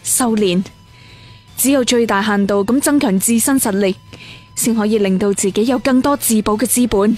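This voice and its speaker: A woman as a husky, resolute young cultivator. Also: fairly high pitch, normal speed, a little mellow, close to an everyday voice. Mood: defiant, resolute. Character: determined young cultivator hero, brooding and defiant. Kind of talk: dramatic inner monologue in an audio story. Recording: music bed behind the voice.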